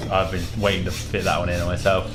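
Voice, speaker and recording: A man's voice: sits low, monotone, quick pace, music in the background. A man playing a tabletop game in casual, chatty style. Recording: clearly noisy, ambient sound.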